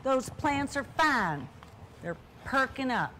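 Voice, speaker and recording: A woman's voice: high voice, very animated, takes it slowly, background noise, heavily acted. A woman playing a stubborn, huffy older spinster sister in a comedic stage play, speaking with emphatic repetition. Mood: defiant.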